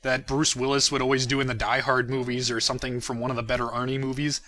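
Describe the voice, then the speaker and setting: A man's voice: medium pitch, little pitch movement, fast, bright, forceful tone. A man giving casual, self-deprecating commentary on his own video as its creator. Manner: self-deprecating, chuckles between phrases. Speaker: creator of a parody series.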